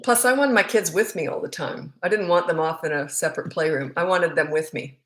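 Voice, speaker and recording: A woman's voice: lowish voice, fairly quick, fairly bright tone. A middle-aged woman with a Canadian accent, a parenting coach answering viewer questions live in a frank, chatty, rambling way.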